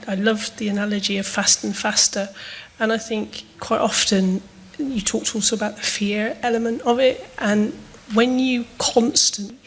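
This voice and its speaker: Brief: woman in the audience, halting and hesitant, mid-pitch, asking a panel. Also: slightly rough, poor audio. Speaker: audience member asking a question. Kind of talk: spontaneous question at a panel discussion.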